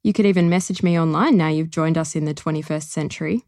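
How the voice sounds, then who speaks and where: Woman putting on an excited young woman. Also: sits low, fast talker, no room sound, studio quality, close to an everyday voice. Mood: teasing. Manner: bright, teasing, excited. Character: lively young woman, cheerful book lover.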